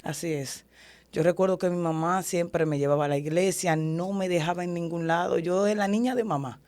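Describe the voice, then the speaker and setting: A woman's voice: fairly low pitch, steady tone, fairly quick, a little mellow. A woman with a Dominican accent, a prophet and ordained minister, earnestly telling her life story as a guest in an interview. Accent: Dominican Spanish. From Dominican Republic. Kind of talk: testimony in an interview.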